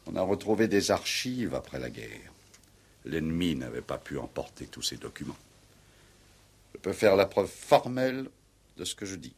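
An actor in a 1960s French radio play playing a proud, middle-aged former Resistance commander, speaking in a grave, formal, solemn way. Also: male voice, low-pitched, very animated, moderate pace, pauses often, slightly rough, full character voice. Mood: bitter accusation.

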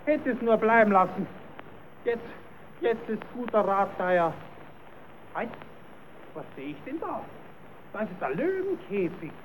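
Old hissy radio play, a really high squeaky cheeky puppet clown, theatrical. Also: male voice, recorded from a distance. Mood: flustered. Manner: theatrical, talks to himself. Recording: old noisy radio recording, roomy and muffled.

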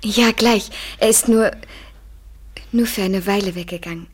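Young woman in love, radio drama: slow, breathy, feverishly intense, poetic. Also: mid-range voice. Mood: expectant, nervous joy. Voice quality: breathy, often near whisper. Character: young woman consumed by ecstatic, desperate love. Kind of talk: German literary radio play acting. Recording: 1970s radio drama studio recording.

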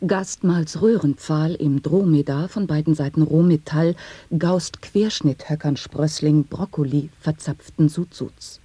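A woman reading experimental sound poetry in a radio play, rolling through the wordplay in a steady rhythm. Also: low-pitched, measured pace, slightly rough, dry recording. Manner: rhythmic tongue-twister delivery.

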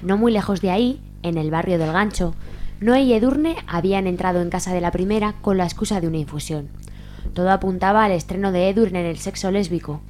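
A woman's voice: on the low side, fairly quick, darkish tone, slight background noise. A woman with an accent from Spain, reading scripted narration for a radio serial. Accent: Spanish, from Spain.

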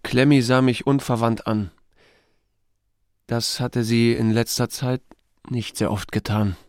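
Male, playing a laconic small-town sheriff narrating a radio drama: mid-pitched, wry, understated, studio-dry. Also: fairly even intonation, slow, dry recording, close to an everyday voice. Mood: wry narration. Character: unflappable, laconic small-town sheriff telling his story. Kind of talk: first-person narration and dialogue in audio drama.

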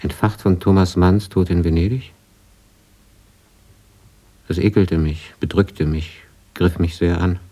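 A man's voice: deep voice, fairly even intonation, unhurried, pauses often, warm tone. An actor playing a brooding writer who reads his old travel diary in terse, reflective fragments in a radio play. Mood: brooding, uneasy. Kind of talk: radio drama diary reading.

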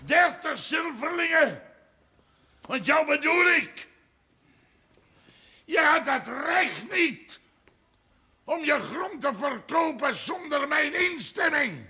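Give this a furious village landowner in a TV period drama a high, piercingly forceful voice. He has a Dutch accent and rants with coarse, emphatic words, dragging them out slowly. The sound is strained and gravelly. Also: sing-song, exaggerated voice. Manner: ranting, shouting, emphatic, coarse-mouthed. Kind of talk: television period drama acting.

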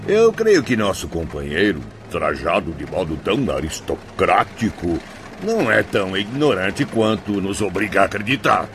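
A sardonic, courteous soul in a radio drama. His low voice moves in big swings, formal in wording, over clear vehicle noise. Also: sing-song, moderate pace, fairly bright tone, full character voice. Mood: amused sarcasm. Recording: noisy vehicle ambience.

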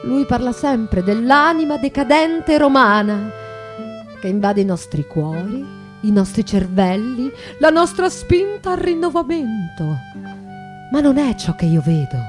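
An actress playing an embittered, elegant, proudly ironic bourgeois wife of the 19th century in a confessional stage monologue that shifts between hushed and declamatory. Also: female voice, fairly high pitch, animated, unhurried. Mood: mocking, declamatory, then bitter, scornful. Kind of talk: theatrical monologue with live music. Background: guitar music.

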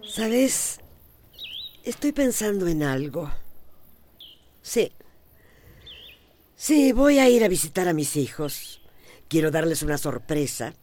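Low-voiced, cantankerous elderly Central American matriarch. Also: female voice, big pitch swings, slow, pauses often, warm tone, heavily acted. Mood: wistful, restless. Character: cantankerous elderly widowed matriarch, restless, affectionate underneath. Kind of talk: radio drama acting.

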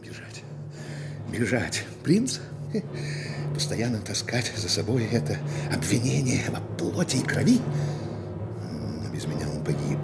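A man's voice: lowish voice, very animated, measured pace, vocal fry, pauses often, heavy background noise, heavily acted. An actor in a radio play voicing a scheming, self-assured conspirator nobleman, speaking in an imperious, emphatic theatrical way. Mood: desperate, brooding. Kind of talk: Russian radio play acting.

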